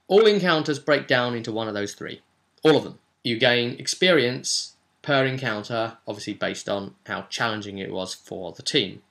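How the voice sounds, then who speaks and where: A man's voice: medium pitch, fairly bright tone. A man speaking as a game master and video host, giving a chatty, explanatory recap of a tabletop role-play session with rambling asides.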